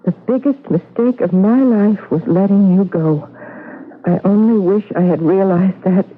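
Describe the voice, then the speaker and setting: An actress playing an eager, curious wife with a romantic streak in an old-time radio drama. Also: female voice, medium pitch, measured pace, lightly acted. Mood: tender reading. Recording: tinny vintage radio broadcast recording.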